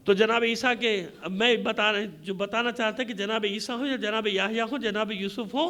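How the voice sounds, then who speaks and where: A man's voice: sits high, fairly even intonation, normal speed, sharp tone. A man preaching an impassioned majlis sermon in a combative, declamatory way, using rhetorical questions and emphatic repetition. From Pakistan.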